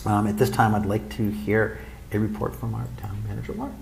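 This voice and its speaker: A man's voice: on the low side, steady tone, fairly quick, vocal fry, darkish tone, a little hiss or hum. A man chairing a town council meeting, speaking in an earnest, informal way and often repeating himself. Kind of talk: public meeting remarks.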